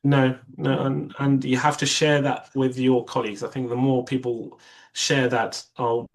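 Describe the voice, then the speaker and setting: A man's voice: medium pitch, level tone, a little slow, gravelly, poor audio. A young man whose first language is Arabic, a surgeon being interviewed, speaking in a reflective way with many restarts and quoted asides. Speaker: vascular surgeon, interview guest.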